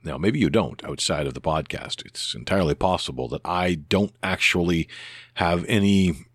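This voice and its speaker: A man with a deep voice talking casually into a close microphone on his podcast, rambling and hesitant with many restarts and fillers. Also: moderate pace, breathy edge, no echo. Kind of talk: casual spoken reply to another podcaster.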